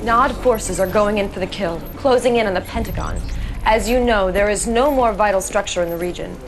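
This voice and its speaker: Casting: actress for a serious woman intelligence officer in a game, medium pitch, mission briefing delivery. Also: fairly quick, over music, natural voice. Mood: grave. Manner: businesslike, direct. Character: crisp, serious female military intelligence officer.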